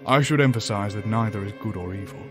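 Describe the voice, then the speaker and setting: A grave otherworldly demon, played by a man tells cosmic lore in a fairly deep voice. The delivery is formal, courtly and measured, as in a horror audio drama, with music clearly behind it. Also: close to an everyday voice. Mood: solemn. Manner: formal, deliberate, solemn, eloquent. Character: grave, courtly demon from a higher dimension, ancient cosmic storyteller.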